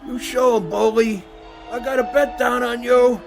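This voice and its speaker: An actor playing a battered, good-natured boxer in a radio drama, with slangy, familiar talk. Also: male voice, very high voice, steady tone, unhurried, creaky, over music, exaggerated voice. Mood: friendly, eager. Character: battered, good-natured male boxer, beaten but friendly.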